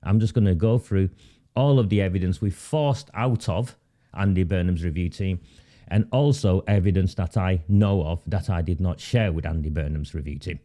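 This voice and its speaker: A man's voice: sits low, moderate pace, warm tone. A man, sniffly from a heavy cold, delivering an indignant monologue at home and repeating key points for emphasis. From Oldham, England. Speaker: independent campaigner presenting his channel.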